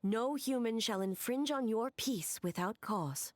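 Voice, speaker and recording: Brief: regal game shogun woman, solemn, composed and ceremonious, high voice, very dry recording. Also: lively intonation, measured pace, dry recording. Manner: stately, ceremonious. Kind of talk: scripted game dialogue.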